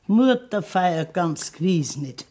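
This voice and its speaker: A woman's voice: on the low side, animated, measured pace, warm tone. An actress playing an anxious, practical grandmother on a farm, with a Bavarian accent, plain-spoken and pleading in a radio play. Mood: matter-of-fact. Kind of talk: 1970s German radio play acting.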